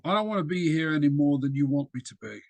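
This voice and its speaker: A man voicing a weary, sarcastic young female agent with quick retorts. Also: fairly high pitch, animated, vocal fry, a little mellow, no echo.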